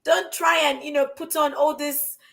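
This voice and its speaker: Woman host, high voice, keeps repeating stuff for emphasis, casual podcast chat. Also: level tone, moderate pace, fairly bright tone. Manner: emphatic, repeats phrases for stress. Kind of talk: casual chat about confidence.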